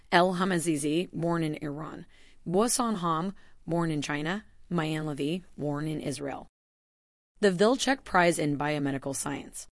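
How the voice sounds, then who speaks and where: A woman narrating a scripted announcement in an even, formal read-aloud manner. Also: low-pitched, dry recording, clean studio sound.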